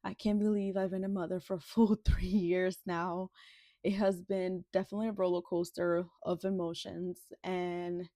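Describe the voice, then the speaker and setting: A woman's voice: fairly low pitch, little pitch movement, normal speed, mellow, no room sound, studio quality. A woman in her twenties from the Dominican Republic, chatting casually and ramblingly as a vlogger getting ready. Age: 20s. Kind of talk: casual get-ready-with-me chat.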